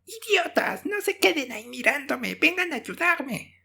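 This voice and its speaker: A man's voice: very high voice, very animated, a little slow, vocal fry, pushed and bright, pitched above the performer's own voice, heavily acted. A man voicing a rude, ungrateful little male dwarf who scolds indignantly in a bedtime fairy tale. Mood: furious.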